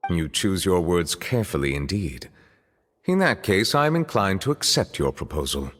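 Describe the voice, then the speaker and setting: A man playing a dignified, grave high judge in a video game, speaking in a stately and scrupulously formal way. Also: very animated, moderate pace, a bit bright, long reverb tail, slight background noise. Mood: formal, guarded. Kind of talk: scripted video game voice acting.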